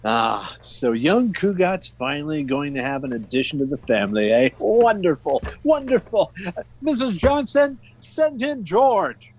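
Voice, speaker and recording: A man's voice: medium pitch, expressive, moderate pace, few pauses, lightly acted. A man playing a blustery, jovial older boss in 1940s-style radio comedy, hearty and exclamatory, on a slightly muffled recording. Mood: delighted.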